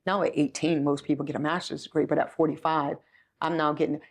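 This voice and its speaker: Sixty-year-old woman, even-toned interview guest. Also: low-pitched, fast. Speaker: chief executive, podcast guest. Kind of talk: conversational interview storytelling.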